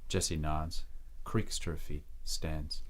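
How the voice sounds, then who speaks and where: Very deep-voiced male drama narrator. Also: steady tone, takes it slowly. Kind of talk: scripted narration.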